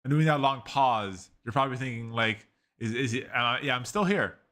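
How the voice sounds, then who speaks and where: A man's voice: mid-range voice, lively intonation, normal speed, bright, forceful tone. A man casually reviewing an AI tool, rambling with lots of "like" and restarts. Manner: rambling, heavy use of "like", frequent restarts. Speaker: tech reviewer. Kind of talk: casual screen-recorded software review.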